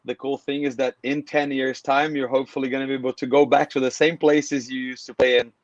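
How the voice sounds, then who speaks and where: A man's voice: medium pitch, little pitch movement, fairly quick, dry recording, lo-fi sound. A man from Brazil hosting a casual video call interview, talking in a friendly, rambling way with restarts. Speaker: podcast host.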